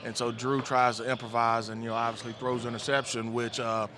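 A man in his forties, a former player, giving relaxed postgame football analysis into a handheld mic on a studio set. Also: mid-range voice, quick pace, a crowd in the background. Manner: relaxed, thoughtful, many "you know" fillers. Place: studio set with team backdrop, indoors. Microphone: handheld microphone.

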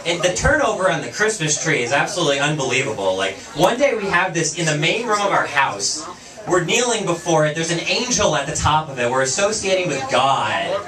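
A fairly high-voiced young man works a casual stand-up set, slipping into quick little character asides. He is picked up from across an echoey bar room. A lively crowd laughs around him. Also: far from the mic. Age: young adult. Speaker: stand-up comedian. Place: small bar stage with audience. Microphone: handheld mic, far camera.

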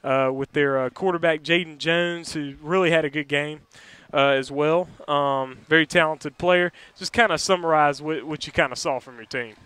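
A man's voice: a little high, fairly even intonation, normal speed, few pauses, a bit bright, clean tone, no room sound. A young man hosting a postgame interview, recapping the game in a conversational way, outdoors at night on a headset mic. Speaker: sports show host. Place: outdoors by a school building at night.

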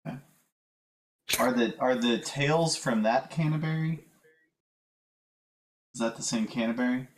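Male stream host, easygoing, relaxed, middle pitch, game commentary. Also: a little slow, low-quality recording. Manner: relaxed, easygoing, laughs along.

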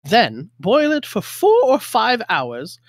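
A man reading an old recipe aloud on a livestream in a deadpan manner, tossing in dry jokes. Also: high-pitched, takes it slowly, no room sound. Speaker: co-host reader.

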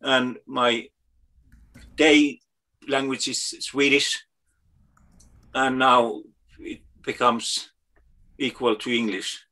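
A man talks slowly over a video call, pausing often as he hunts for the right English word. His voice is bright and clear. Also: mid-range voice, fairly even intonation, rough recording. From Finland. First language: Finnish. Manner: searches for English words, halting and hesitant. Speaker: guest. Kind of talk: casual video call chat.

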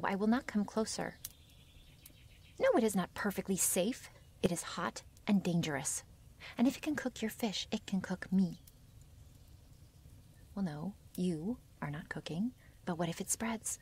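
Werewolf girl played by a woman, ASMR roleplay, intimate half-whisper, breathy, vocal fry, wary, possessive, halting formal phrasing. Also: medium pitch, animated, a little mellow. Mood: wary, reluctant. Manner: halting, stiffly formal phrasing without contractions. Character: wary, possessive young female werewolf, tender yet menacing.

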